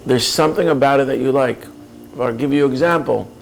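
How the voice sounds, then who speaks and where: A man's voice: mid-range voice, fairly quick, creaky, a little hiss or hum. A man giving a reflective coaching talk, earnest and probing, speaking directly to the listener. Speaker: speaker on personal growth.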